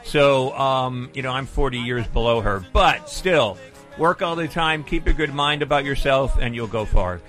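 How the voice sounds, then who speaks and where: A man's voice: medium pitch, normal speed, fairly bright tone, soft background music. A man in his fifties hosting a pet call-in radio show, chatty and jokey, also reading his own ad. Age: 50s. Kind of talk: radio call-in talk and read ad.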